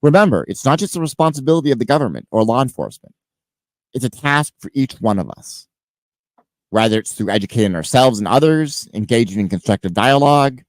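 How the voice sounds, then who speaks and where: Male host with a head cold, mid-pitched, lively rises and falls, close dry mic. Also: dry recording. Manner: emphatic, list-like delivery with swings in level. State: stuffed up with a cold. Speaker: podcast host. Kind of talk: scripted monologue then casual interview.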